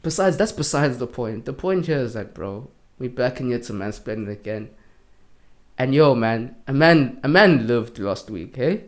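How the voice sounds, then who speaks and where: A man co-hosting a podcast, telling stories in an animated, slangy way. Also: mid-range voice, normal speed. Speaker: podcast co-host. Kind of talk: casual chat.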